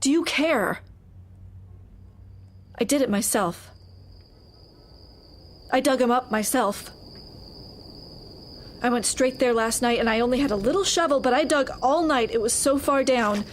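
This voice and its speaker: High-voiced, distressed stubborn woman employee. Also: unhurried, pauses often, slight background noise. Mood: desperate. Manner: intense, blunt, insistent. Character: distressed, stubborn female employee, grieving and trapped. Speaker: actor in audio drama. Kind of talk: audio drama acting, dark comedy.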